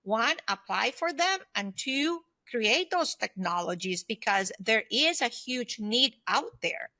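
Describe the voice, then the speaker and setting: Female, 50s, interview guest. Bright, forward voice, slightly high, dry close sound, earnest explainer. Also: animated, takes it slowly, dry recording. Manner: earnest, explanatory, often checks in with "right?". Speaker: podcast guest, consultant and former aerospace executive. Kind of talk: conversational interview answers.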